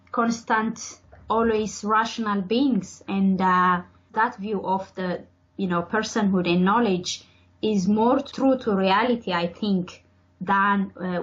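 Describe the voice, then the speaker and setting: A woman's voice: medium pitch, dull audio. A young woman from Ethiopia, a PhD student, answering interview questions in a thoughtful, hesitant way with many fillers. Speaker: podcast guest, PhD student.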